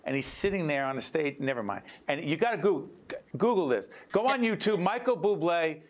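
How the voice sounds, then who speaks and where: A man's voice: a little high, expressive, fairly quick. Chatty man from New Jersey hosting. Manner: chatty, jokey, restarts and digresses. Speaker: interview host. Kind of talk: casual interview chat.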